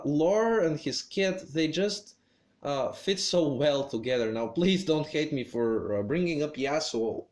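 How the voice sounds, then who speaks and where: A man talking through his opinions on a video game character in a hesitant, measured way, with lots of pauses and restarts. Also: fairly high pitch. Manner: hesitant, many fillers and restarts. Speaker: gaming video creator. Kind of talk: game commentary vlog.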